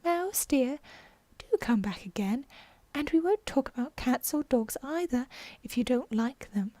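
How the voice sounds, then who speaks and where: A woman's voice: high-pitched, expressive, unhurried, dark tone, no room sound. A woman voicing a polite young girl from a storybook, eager and apologetic as she chatters. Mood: sorrowful coaxing.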